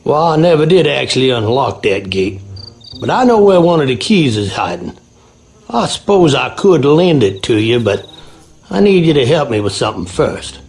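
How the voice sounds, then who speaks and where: An actor playing a wary, rustic groundskeeper with an American Southern accent in a video game. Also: male voice, medium pitch, big pitch swings, normal speed, a little mellow, a little hiss or hum. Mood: guarded, uneasy. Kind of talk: video game character acting.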